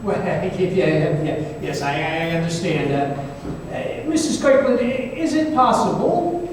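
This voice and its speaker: A man playing a flustered, worldly confidant in an old-time radio drama, stammering and halting as he talks. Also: a little high, expressive. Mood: awkward, probing. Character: flustered, worldly male confidant, informal and reassuring. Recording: echoey hall from far off, faint noise.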